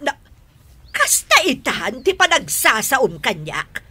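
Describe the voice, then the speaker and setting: A woman's voice: high-pitched, big pitch swings, takes it slowly, bright, forceful tone, slightly rough, heavily acted. An actress playing a pleading, nagging mother in a radio drama. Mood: nagging, hurt. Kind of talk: Ilocano radio drama acting.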